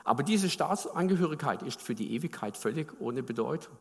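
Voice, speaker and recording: A man's voice: a little high, expressive, brisk, gravelly, darkish tone, big-room sound. A man from Baden in Germany preaching a sermon, talking conversationally and putting questions to the congregation.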